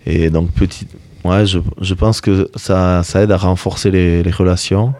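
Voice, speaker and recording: A man's voice: low-pitched, steady tone, measured pace, dark tone, no echo. A young man whose first language is Basque, a rugby player answering interview questions in a casual, hesitant way with many fillers.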